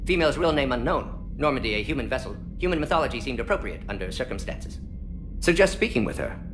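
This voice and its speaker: An actor playing a brisk male alien scientist who talks in clipped phrases, dropping small words. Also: mid-range voice, expressive, over music, close to an everyday voice. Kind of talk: video game voice acting.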